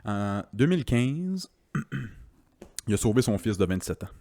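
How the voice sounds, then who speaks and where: A man's voice: on the low side, very animated, slow, mellow. A man with a Quebec French accent hosting a podcast in loose, joking, casual chat. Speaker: podcast host. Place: podcast studio.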